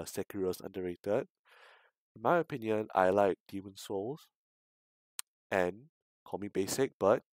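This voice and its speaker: A man streaming a visual novel read-aloud, halting and repeating words, with casual asides to chat. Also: fairly low pitch, darkish tone, no room sound. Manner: halting, stumbles and repeats words while reading.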